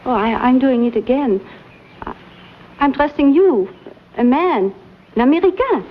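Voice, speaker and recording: A flustered young French schoolmistress, anxious and trusting, pleading in a breathless, high voice full of French words. Her French accent colours every line. The sound is dull and slightly muffled, with a faint hiss under it. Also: expressive, measured pace. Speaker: French teacher at a girls' academy. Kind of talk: period TV drama acting.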